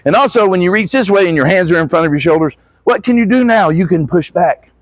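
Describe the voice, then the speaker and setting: A man's voice: high voice, expressive, fast talker, no echo. A man coaching gymnastics, giving hands-on instruction with short encouraging corrections as he demonstrates. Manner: encouraging, corrective asides to athlete.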